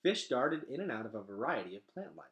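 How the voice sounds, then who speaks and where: Animated man reading a story aloud. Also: medium pitch, a little fast. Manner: animated, dramatic delivery. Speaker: reader of a children's novel. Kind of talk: expressive read-aloud storytelling.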